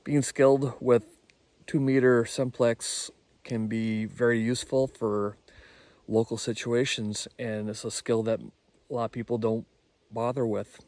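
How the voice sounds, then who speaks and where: A man's voice: mid-range voice, level tone, unhurried, darkish tone, no echo. A man talking casually and enthusiastically in an informal vlog as an amateur radio operator.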